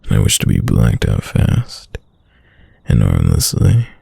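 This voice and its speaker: A man playing a devoted, soft-spoken boyfriend in an intimate late-night roleplay ASMR, murmuring tenderly and musingly in a deep, gravelly, breathy voice. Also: wildly swooping pitch, unhurried, no echo, heavily acted. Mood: teasing affection. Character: devoted soft-spoken boyfriend whispering to his sleeping lover.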